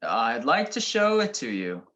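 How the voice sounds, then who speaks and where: A man's voice: medium pitch, wildly swooping pitch, a little slow, a bit bright. A man playing a wisecracking detective husband in a radio crime drama, dry and sardonic. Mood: wry.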